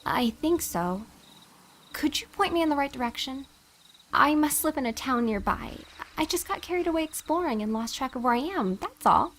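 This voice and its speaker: A woman's voice: high voice, lively intonation, moderate pace, fairly bright tone, a little hiss or hum. A woman voicing an innocent, curious lost young girl in an audio drama, polite and artless in the way she talks. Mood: unsure, curious.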